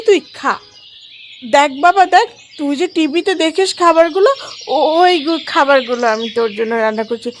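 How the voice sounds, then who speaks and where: A woman's voice: high-pitched, a little hiss or hum. A woman playing a cruel, scheming stepmother in a cartoon, commanding and wheedling. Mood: scolding, doting. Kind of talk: cartoon story voice acting.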